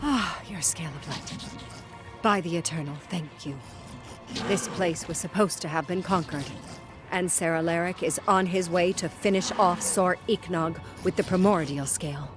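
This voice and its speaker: A woman's voice: lowish voice, moderate pace, close to an everyday voice. Woman playing an earnest devout soldier lady in a fantasy game, urgent and formal, music going behind her. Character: earnest, devout female soldier leading a unit. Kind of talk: scripted fantasy game cutscene acting.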